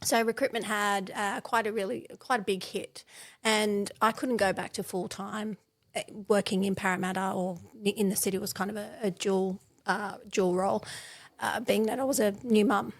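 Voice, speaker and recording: A woman's voice: mid-range voice, fairly even intonation, moderate pace. Chatty woman in her forties. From born in England, raised in Australia. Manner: chatty, storytelling, frequent fillers and laughs. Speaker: podcast guest. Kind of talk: casual interview chat.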